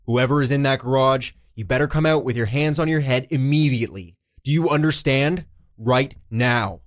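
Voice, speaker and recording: A man's voice: mid-range voice, normal speed, no room sound, studio quality, close to an everyday voice. A man voicing a rigid police constable in an audiobook, barking commands in a stiff, mechanical manner. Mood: bellowing command. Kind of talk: dramatised audiobook character.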